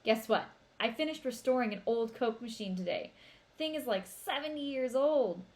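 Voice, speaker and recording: A woman's voice: a little high, expressive, normal speed, a little mellow, close to an everyday voice. A woman voicing an upbeat, boastful teenage big brother in a storybook read-aloud, with playful, emphatic delivery.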